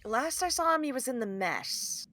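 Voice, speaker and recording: A fearful, sardonic crewwoman, acted by a woman in a dramatic table read. Her voice is a bit high, and she speaks with dry, bitter gloom. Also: expressive, moderate pace, sharp tone. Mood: resigned, uneasy. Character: bitter, fearful, sardonic female crew member.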